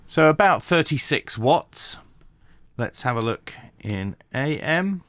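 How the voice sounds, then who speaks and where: A man giving a hands-on tech tutorial, talking through each step methodically with pauses and dry asides. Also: mid-range voice, very animated, fairly bright tone, no echo. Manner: methodical, thinking aloud with frequent pauses. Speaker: radio reviewer.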